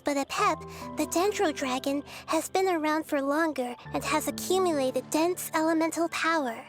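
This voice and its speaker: Tiny excitable companion: squeaky, bouncy pitch, slow delivery. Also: female voice, exaggerated voice. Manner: excitable, refers to herself in third person. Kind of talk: video game voice acting.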